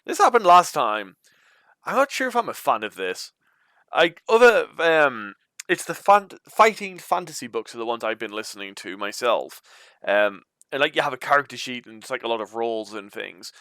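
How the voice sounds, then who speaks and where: A man's voice: mid-range voice, big pitch swings, moderate pace, bright, forceful tone, no echo. A man hosting a read-aloud of a gamebook, moving between storybook narration and informal, chatty commentary. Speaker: host reading a gamebook aloud.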